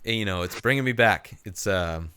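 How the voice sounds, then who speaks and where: Rambling low-voiced man on a podcast. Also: sharp tone, clear, resonant tone, no room sound, studio quality. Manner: rambling, halting, profane. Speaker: podcast host. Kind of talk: casual comedic podcast chat.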